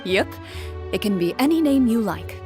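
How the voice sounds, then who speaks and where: An actress voicing a composed woman who calmly explains the rules of a tabletop game as its game master. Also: a little high, music in the background. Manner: calm, measured, explaining rules. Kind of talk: game cutscene voice acting.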